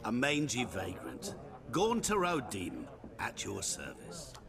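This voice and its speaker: Sly, creaky-voiced wandering merchant. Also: male voice, mid-range voice, wildly swooping pitch, slow, a crowd in the background, heavily acted. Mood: theatrical, ingratiating. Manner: florid, ingratiating, teasing. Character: sly, humble-seeming wandering male merchant, theatrical and courteous. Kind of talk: fantasy video game acting. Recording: game dialogue with clear background noise.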